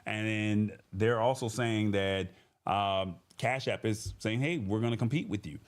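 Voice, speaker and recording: A man with a fairly low voice hosts a tech livestream. He speaks informally and in a folksy way, addressing his viewers directly as he chats and reads things out. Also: unhurried. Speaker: host of a tech livestream show. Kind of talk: casual livestream chat, reading comments and news.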